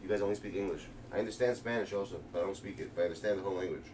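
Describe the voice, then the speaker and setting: New streamer, distant and noisy. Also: male voice, deep voice, rapid-fire. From New York. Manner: excited, slangy, rambling. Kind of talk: casual gaming livestream commentary. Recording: distant mic with clear background noise.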